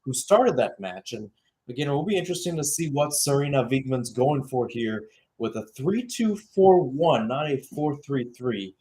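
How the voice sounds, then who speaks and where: A man's voice: mid-range voice, lively intonation, moderate pace, warm tone, slightly rough. A young man giving live radio-style soccer play-by-play, talking conversationally and filling in background detail between calls. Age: young adult.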